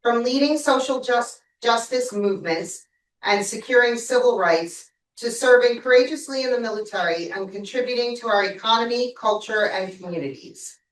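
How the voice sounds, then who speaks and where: A woman's voice: fairly high pitch, normal speed. A woman reads a formal proclamation aloud in a council chamber, now and then tripping over a word. She is heard from a distance in a roomy, echoing hall, her mic switched off. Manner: stumbles and apologizes mid-reading. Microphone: mic not switched on, picked up from a distance. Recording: echoey room from far off.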